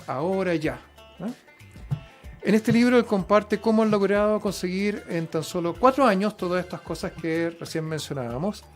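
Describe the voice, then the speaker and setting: Radio host with a higher voice. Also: male voice. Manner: conversational, frequent fillers and affirmations. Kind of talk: radio interview.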